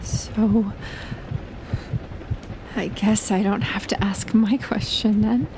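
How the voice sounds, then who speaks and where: A woman playing a shy, teasing young woman secretly in love with her best friend, speaking playfully and hesitantly in breathy half-whispers in an intimate audio roleplay. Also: medium pitch, steady tone, takes it slowly, noisy background. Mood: shy, tender. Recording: rain and thunder sound effects behind. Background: rain and thunder.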